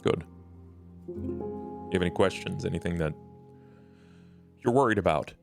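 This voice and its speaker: A man playing a grave, cautious, protective vampire mentor in a tabletop game, speaking in a formal, deliberate way. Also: sits low, vocal fry, music in the background. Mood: earnest, solemn. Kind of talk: in-character tabletop roleplay.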